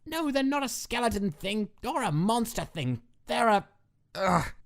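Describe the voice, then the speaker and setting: A woman voicing a loud, boastful young male skeleton with theatrical, indignant exclamations in a fan comic dub. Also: fairly high pitch, big pitch swings, a little slow, creaky, full character voice.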